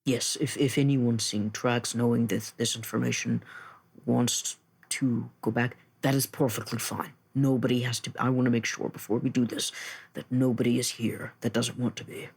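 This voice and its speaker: Male actual-play podcaster, gravelly with some fry, earnest and considerate, improvising in character. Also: medium pitch, steady tone, moderate pace. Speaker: tabletop role-play podcast player. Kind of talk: in-character improvised role-play and casual table talk.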